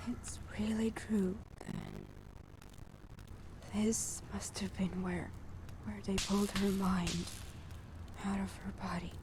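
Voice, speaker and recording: A somber female game companion voiced by a woman speaks slowly in a hushed, halting voice. Her pitch barely moves. There is clear background noise around her. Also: little pitch movement, close to an everyday voice. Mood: shaken, somber. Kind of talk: video game companion dialogue.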